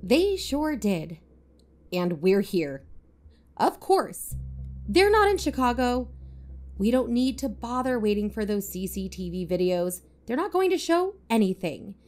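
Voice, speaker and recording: Woman playing Stevie, a blunt investigator with lively pitch. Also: a little slow, faint music behind. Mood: bitter. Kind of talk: fiction podcast audio drama acting.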